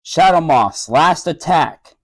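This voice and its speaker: A woman's voice: low-pitched, lively intonation, slow. A woman announcing short titles.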